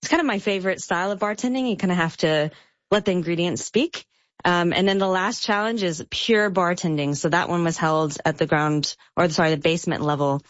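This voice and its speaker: A woman from the United States, a bartender guest on a radio show, upbeat and quick to laugh. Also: on the low side, no room sound. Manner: bright, upbeat, laughs easily. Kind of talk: interview over a phone line. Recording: dry, dull phone-line audio.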